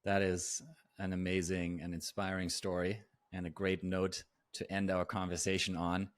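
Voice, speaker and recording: Brief: male interview host, low voice, measured, dry studio sound. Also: completely flat delivery, dry recording. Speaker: podcast host. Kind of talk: interview questions.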